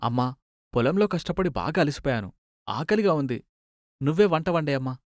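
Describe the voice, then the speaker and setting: Man voicing an expressive, earnest crow son. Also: a little high, moderate pace, dry recording, studio quality, natural voice. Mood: weary, hungry. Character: hardworking, weary adult crow son, good-natured.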